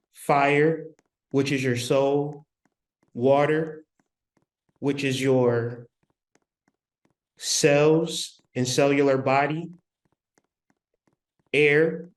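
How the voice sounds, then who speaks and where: A man giving a spiritual lecture, speaking deliberately with long pauses and repeating key phrases for emphasis. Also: mid-range voice. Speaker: spiritual teacher.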